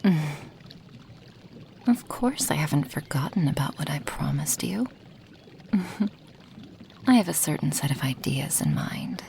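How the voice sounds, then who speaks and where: A woman voicing an affectionate female dragon who brews potions, in a soft, intimate ASMR roleplay with breathy half-whispers and sighs. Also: sits low, lively intonation, unhurried, pauses often, a little hiss or hum, full character voice. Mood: tender, teasing. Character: affectionate female dragon, a potion maker tending her human guest.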